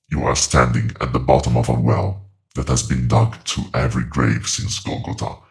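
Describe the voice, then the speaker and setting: An actor playing an ancient, sorrowful otherworldly witness to the dead in a horror audio drama, solemn and ominous in measured phrases. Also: male voice, a bass voice, gravelly, a little mellow, exaggerated voice.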